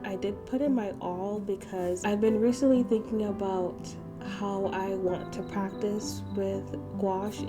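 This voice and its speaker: A young woman, stuffy and a bit nasal from allergies, gives a soft, rambling voiceover about her painting process. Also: mid-range voice. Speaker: art channel host. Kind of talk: voiceover narration of a painting session. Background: background music.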